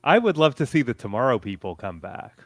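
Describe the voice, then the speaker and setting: A man's voice: mid-range voice, big pitch swings, brisk, a little hiss or hum. A man speaking as a panelist in a casual, enthusiastic fan discussion.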